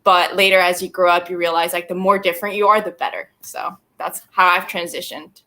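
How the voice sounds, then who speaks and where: Bright-voiced reflective woman panelist. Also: fairly low pitch, steady tone, a little fast. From Colombia. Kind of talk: casual panel talk.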